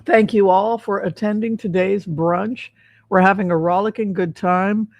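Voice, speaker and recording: Female psychic livestream host, mid-range voice, halting and repetitive, very dry sound. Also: mid-range voice, fairly even intonation, darkish tone, no room sound. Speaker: host, psychic tarot reader. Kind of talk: casual livestream talk.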